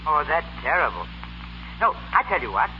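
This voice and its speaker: A man's voice: fairly high pitch, sing-song, normal speed. An actor playing a tipsy upper-class young man in a 1930s radio comedy, muddled and formally polite, by turns blustering and courteous. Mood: friendly, confiding. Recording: scratchy, hissy 1930s radio broadcast, dull.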